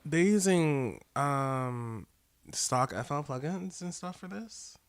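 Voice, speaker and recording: A young man giving casual, halting feedback on a track, full of likes and small laughs. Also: fairly high pitch, lively intonation, smooth. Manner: loose, halting, lots of fillers and laughs.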